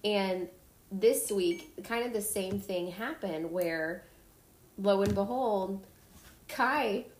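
A woman hosting a podcast, telling stories in a casual, animated way and acting out the people in them. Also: mid-range voice, slow pace, slight background noise. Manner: animated storytelling, acts out kids' voices. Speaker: podcast host. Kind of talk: casual chat. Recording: echoey room.

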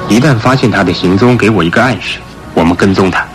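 A man acting a shrewd, authoritative police chief in a Mandarin radio drama, speaking firmly and deliberately. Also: fairly low pitch, faint music behind, close to an everyday voice. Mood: hushed instructing.

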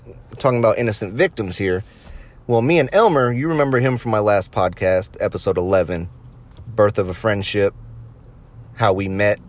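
A man's voice: fairly low pitch, normal speed. Casual, crude-talking male podcaster. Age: late 20s to early 30s. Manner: crude, swearing, amused by own stories. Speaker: podcast host. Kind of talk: casual anecdotal storytelling to listeners.

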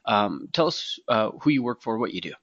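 A man's voice: fairly low pitch, big pitch swings, fairly quick, fairly bright tone, highs cut off. A man hosting a casual interview in an enthusiastic, appreciative, conversational manner. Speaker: podcast interview host.

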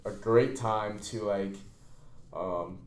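A man's voice: lowish voice, steady tone, slow. A young man from China, a college student, hosting a casual podcast and speaking in an informal, earnest, advising way. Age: young adult. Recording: roomy echo.

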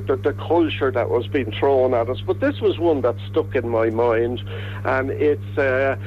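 A man from Ireland with a Dublin accent, a guest on a radio chat show, talking haltingly with many restarts. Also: on the high side, highs cut off, slight background noise. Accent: Dublin Irish.

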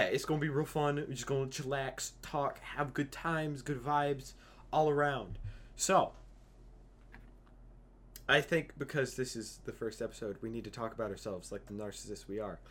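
A man's voice: mid-range voice, measured pace, a bit bright. A young man hosting a casual podcast chat, joking and teasing his friends. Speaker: podcast host.

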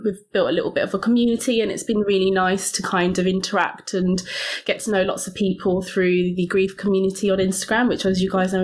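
A woman, a podcast guest, speaks reflectively and hesitantly, with many pauses and fillers. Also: on the low side. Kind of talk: reflective personal interview.

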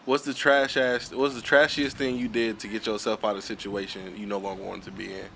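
A man's voice: fairly low pitch, level tone, fairly quick, gravelly, a bit bright, a little hiss or hum. Laid-back young man telling a story. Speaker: podcast co-host. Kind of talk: casual podcast storytelling.

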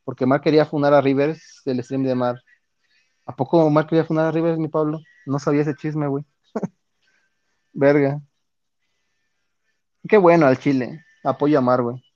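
A man's voice: a little high, steady tone, moderate pace, pauses often, darkish tone, no echo. Slangy Mexican guy chatting with viewers. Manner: slangy, profane, reads and answers chat. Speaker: streamer. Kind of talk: casual chat with viewers. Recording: dull lo-fi dry sound.

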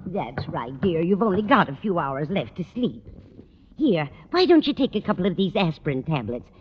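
A woman's voice: on the low side, big pitch swings, normal speed. An actress playing a kindly, fussy, scatterbrained older landlady in a vintage radio comedy, rambling in a motherly way. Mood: motherly concern. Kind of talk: 1940s-50s radio comedy acting. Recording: muffled, noisy old-time radio recording.

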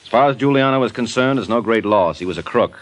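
An actor playing a suave, wisecracking private investigator in 1940s radio detective drama, with breezy, slangy tough talk. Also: male voice, mid-range voice, lively intonation, fast talker, lightly acted. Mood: hard-boiled, pressing.

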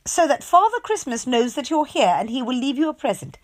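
A woman's voice: high voice, very animated, a little fast, pitched above the performer's own voice. A woman voicing a cheerful, contrary little storybook girl in a sing-song way. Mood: cheery. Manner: sing-song, says the opposite of what she means.

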